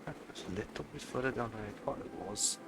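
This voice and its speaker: Male game streamer: casual, thinking aloud, low-ish with vocal fry, lively intonation. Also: brisk. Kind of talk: casual live let's play commentary. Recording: game music behind.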